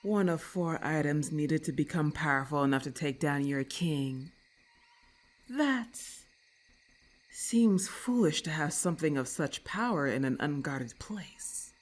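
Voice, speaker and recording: A woman voicing a haughty, predatory female lamia in ASMR roleplay, speaking in a deliberate, imperious and condescending manner. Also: low voice, expressive. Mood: wary, questioning.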